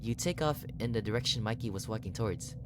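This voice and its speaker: A man's voice: fairly low pitch, fast talker, noisy background. A man hosting a gaming video, reading visual novel narration aloud and reacting to it expressively.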